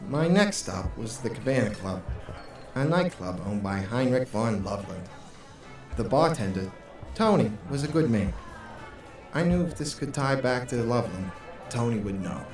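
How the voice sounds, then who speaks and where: A man playing a hardboiled private investigator in a noir audio drama, terse and deadpan, with music behind him. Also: medium pitch, moderate pace, natural voice. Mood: dry, probing. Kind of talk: noir radio drama with first-person narration.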